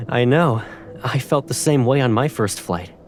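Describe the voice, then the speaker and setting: A young pilot love interest, soft intimate voice, confident and teasing, romance game style, music behind. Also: male voice, medium pitch, lively intonation, slow. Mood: warm, assured. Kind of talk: romantic game drama dialogue.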